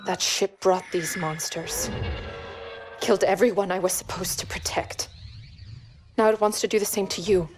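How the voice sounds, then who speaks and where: A woman's voice: medium pitch, fairly even intonation, normal speed, pauses often, faint music behind, natural voice. A woman playing a determined, wry female artificial intelligence out for revenge in a video game cutscene, with natural cinematic delivery. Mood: grim, vengeful.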